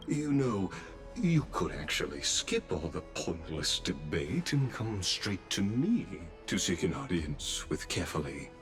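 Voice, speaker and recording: An actor playing a courteous, enigmatic and smoothly cunning gentleman in a video game, formal and theatrical in manner. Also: low voice, heavily acted. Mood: gracious, sly. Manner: formal, polite, unhurried and theatrical. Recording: background music behind the voice.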